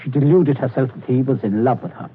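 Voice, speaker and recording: An actor playing a ruthless middle-aged father who calmly threatens a man at gunpoint, in 1940s radio drama style. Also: mid-range voice, fast talker, a little hiss or hum, natural voice. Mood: menacing calm. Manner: calm, clipped, coldly threatening. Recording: tinny old radio broadcast.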